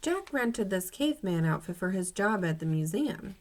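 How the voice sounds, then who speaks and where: A woman's voice: mid-range voice, big pitch swings, moderate pace, a little mellow, lightly acted. Helpful, casual shop man in a kids' storybook voiced lightly by a female reader. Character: friendly, matter-of-fact male costume shop clerk in storybook.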